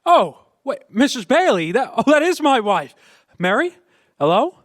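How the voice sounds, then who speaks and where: An earnest young newlywed in an old-time radio drama. His voice rides very high for a man, and he halts and stammers as he makes his case, flustered and sincere. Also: very animated, no room sound, clean studio sound, exaggerated voice. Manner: halting, stammering, pleading. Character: earnest, flustered young newlywed man running a small-town loan business.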